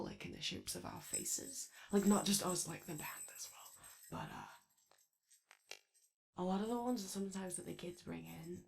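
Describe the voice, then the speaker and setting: A woman's voice: on the low side, vocal fry, slight background noise, full character voice. A woman playing a shy, chatty animatronic daycare attendant in a roleplay ASMR, rambling tentatively and trailing off. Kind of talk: roleplay ASMR, improvised-sounding casual chat.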